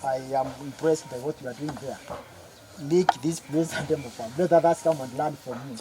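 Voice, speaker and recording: A man's voice: on the high side, a little fast, crowd noise, poor audio. A man giving an earnest, exhorting speech of thanks to a group. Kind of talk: informal thank-you speech.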